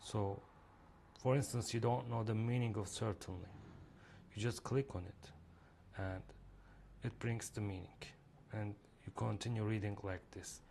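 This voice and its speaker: A man's voice: lowish voice, steady tone, unhurried, vocal fry, mellow, slight background noise. A man with a Turkish accent, a native Turkish speaker, walking viewers through a reading app in a screen-recorded demo, speaking hesitantly with many fillers. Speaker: app creator demonstrating his reading app.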